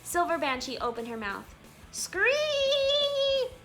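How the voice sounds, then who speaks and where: A woman hosting a children's read-aloud, telling a storybook in an animated, expressive way with emphatic exclamations. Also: very high voice, slow pace. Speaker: read-aloud channel host. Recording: music behind the voice. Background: music.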